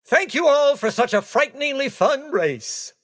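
A man voicing a theatrical, excitable skeleton gentleman in a racing video game, with courteous, exclamatory delivery. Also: extremely high pitch, normal speed. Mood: gleeful. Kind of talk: video game character voice clips.

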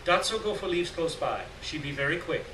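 A man's voice: on the high side, lively intonation, fairly quick, natural voice. A man voicing a caring, earnest foreign woman in a story reading, speaking short phrases of broken English. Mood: soothing. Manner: broken grammar, short urgent reassuring phrases. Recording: roomy, distant, noisy live room recording.

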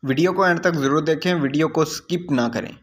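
A man's voice: on the high side, a little fast. A man with a Pakistani accent giving informal, explanatory commentary on a property site visit as a real estate video host.

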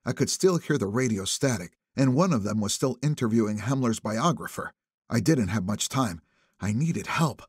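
Husky, animated male audiobook narrator. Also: mid-range voice, no echo, studio quality. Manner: expressive, suspenseful phrasing. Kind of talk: dramatic first-person thriller narration.

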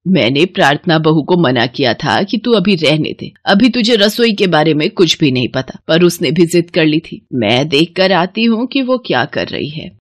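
A woman's voice: sits low, very animated, normal speed, hardly any pauses, no echo. Woman playing a scheming bossy older mother-in-law. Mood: falsely caring. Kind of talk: animated moral story dialogue.

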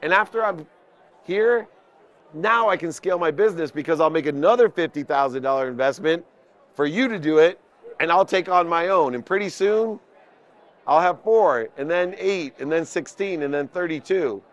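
A man's voice: fairly high pitch, normal speed. A man in his fifties giving an impromptu business talk as a coach, emphatic, listing points in parallel phrases. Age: 50s. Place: indoor convention booth with onlookers. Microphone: small mic clipped at the collar.

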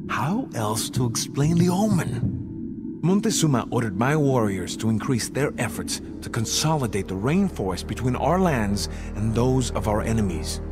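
Loud music plays behind a proud Aztec jaguar warrior. He narrates a game cutscene in a solemn, measured way, with a mid-pitched male voice that rises and falls dramatically. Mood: grave, foreboding.

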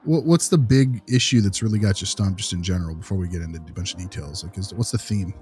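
A man's voice: low-pitched, fast talker, a little mellow, soft background music. A man in an improvised role-play as a consulting analyst, talking casually and asking probing questions.

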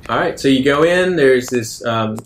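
A man's voice: lively intonation, normal speed. A man narrating a tabletop game as its game master. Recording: noisy, rumbling background.

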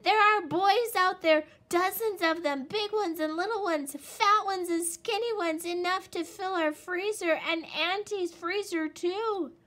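A woman doing a shrill excitable wolf cub. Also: moderate pace. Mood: excited, pleading. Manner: shrill, shouting and pleading. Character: young male wolf cub, mischievous and excitable.

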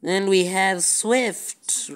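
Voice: medium pitch, very slow. A woman giving casual gameplay commentary as a video creator, often repeating words and phrases, recorded on a handheld phone. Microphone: handheld phone filming a screen. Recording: faint background noise, amateur phone recording.

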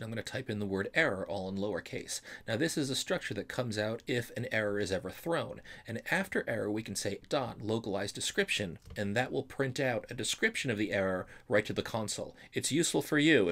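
A man explaining things step by step as an instructor in a screencast programming tutorial, reassuring and stressing key terms. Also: lowish voice. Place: voice-over for a screen recording.

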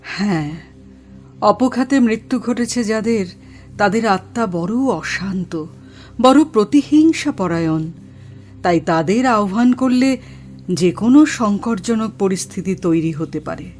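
Woman acting slow, grave female spirit medium. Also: mid-range voice, animated, a little mellow, soft background music, close to an everyday voice. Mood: grave, cautioning. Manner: formal, measured, solemn. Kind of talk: Bengali horror audio drama acting.

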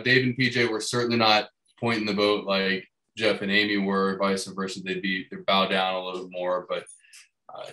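A young man answering interview questions over a video call, halting with restarts and some light joking. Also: lowish voice, fairly bright tone. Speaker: boat builder guest. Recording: roomy video call audio.

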